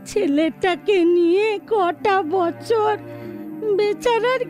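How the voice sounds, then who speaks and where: A woman's voice: extremely high pitch, extremely slow, darkish tone, faint music behind, full character voice. A woman playing a frail, garrulous old widow in an audio story, folksy and grumbling. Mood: sorrowful, chatty.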